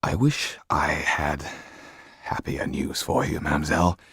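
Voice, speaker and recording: Man playing a low, husky, weary old lawyer. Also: fairly even intonation, a little slow, a bit bright, no echo, studio quality, exaggerated voice. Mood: regretful. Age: older. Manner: hesitant, grave, low-voiced. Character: weary, kindly old male French lawyer, anxious and regretful.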